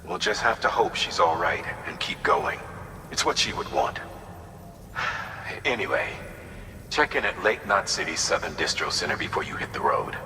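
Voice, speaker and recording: A calm mission coordinator. His voice is deep with a bright edge, and he gives steady instructions. The sound is echoey with a long tail and faint background hiss. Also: moderate pace, pauses often. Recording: roomy, echoey with faint noise.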